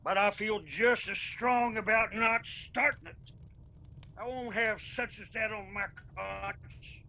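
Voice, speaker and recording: An actor playing a proud, principled and vengeful mountain clan leader in a radio drama, with an Appalachian accent. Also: male voice, high-pitched, steady tone, measured pace, gravelly, bright, forceful tone, heavily acted. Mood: firm resolve.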